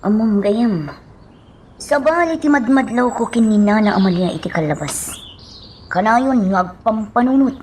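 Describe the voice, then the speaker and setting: Actress as a hesitant, high-voiced young woman. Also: expressive, a little mellow, a little hiss or hum, lightly acted. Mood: concerned. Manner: hesitant, halting. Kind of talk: Ilocano radio drama acting.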